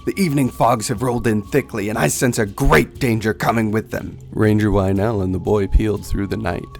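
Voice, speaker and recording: A man reading dramatic scripted fantasy narration in a solemn storyteller manner, with a creaky voice. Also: on the low side, fairly even intonation, brisk, faint music behind.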